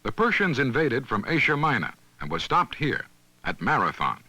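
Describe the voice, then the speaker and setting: A man reads scripted documentary narration in a measured, instructional manner, as on a 1970s educational film soundtrack. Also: medium pitch, huge pitch swings, pushed and bright, slight background noise, poor audio.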